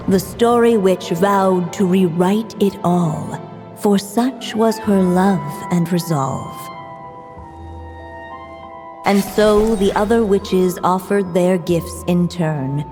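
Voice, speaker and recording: Gentle game storyteller-witch; warm-toned, slow, reverberant with score. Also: fairly low pitch. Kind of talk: scripted narration. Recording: long reverb with music.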